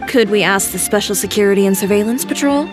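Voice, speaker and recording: A woman's voice: medium pitch, fast, over music, lightly acted. A woman playing a self-assured young fashion designer in a video game, matter-of-fact and composed.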